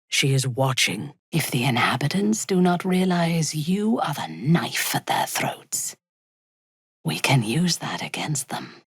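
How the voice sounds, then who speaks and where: Imperious female dark elf warlord for a fantasy game: slow, husky delivery, coldly menacing, ruthless and formal. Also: sits low, sing-song, no room sound, studio quality, heavily acted. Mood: disdainful, scheming. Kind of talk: video game character dialogue.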